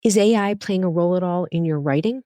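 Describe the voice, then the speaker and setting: Woman physician host, low and dry, asking earnest, direct questions. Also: fast, mellow, dry recording. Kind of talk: podcast interview questions and storytelling.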